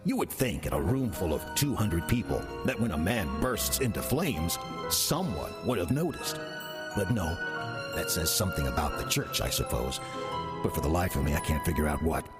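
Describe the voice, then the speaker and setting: A man playing a wry demon in the guise of an older church usher in an audio drama, with a husky voice and dry, deadpan delivery. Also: deep voice, over loud music. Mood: wry narrating. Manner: dry, deadpan, unhurried sarcasm. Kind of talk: audio drama acting with first-person narration.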